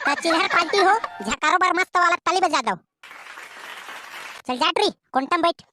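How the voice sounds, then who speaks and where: A performer voicing a gruff male village schoolteacher who hosts the show and scolds the pupils, with a Chhattisgarhi accent and a high cartoon voice. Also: moderate pace, a loud crowd. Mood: announcing. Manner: announcing and scolding, calls pupils names. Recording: cartoon voice over faint music.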